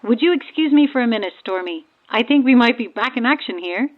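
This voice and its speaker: A woman's voice: on the high side, lively intonation, fairly quick, phone-quality sound. Thin radio-filtered woman, eerily teasing. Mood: brisk. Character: eerie, self-aware female ghost believer calling from stranded boat. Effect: radio transmission filter. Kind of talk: naturalistic audio horror drama acting. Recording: thin, band-limited marine radio.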